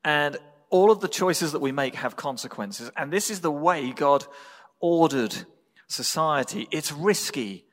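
A man with an English accent preaching a sermon as a pastor, speaking in measured, deliberate phrases with pauses. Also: fairly high pitch, expressive, a bit bright. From England. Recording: reverberant hall.

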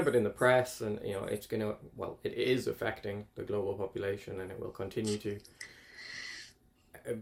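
A man, talking casually as an interview guest in a rambling, self-correcting way. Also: on the low side. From northeast England. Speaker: climate researcher guest.

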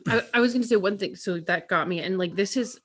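A woman, a worship pastor, talking as a podcast guest in a candid, animated way that turns quick when she jumps in. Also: medium pitch, breathy edge. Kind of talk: casual conversation.

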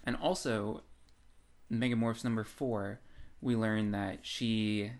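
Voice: medium pitch, steady tone, slow pace, darkish tone. A podcast co-host discussing books casually, in an informal and agreeable manner.

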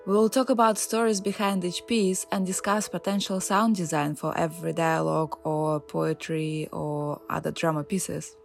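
A woman hosting a podcast, introducing the episode and asking her guests questions. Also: lowish voice. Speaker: podcast host.

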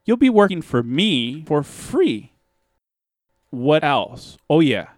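A smug martial arts master, played by a man, slow-paced with lively swings in pitch. He is deadpan, courteous and casually domineering, in a dry-sounding comedy audio drama. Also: no echo. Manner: deliberate, deadpan.

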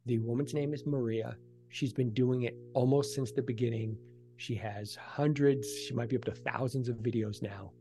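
A man talks as a guest in a conversational podcast interview. His voice has a noticeable creak and sits at an average pitch, with clear music playing alongside him. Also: steady tone, normal speed. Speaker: podcast guest, ASMR creator and author.

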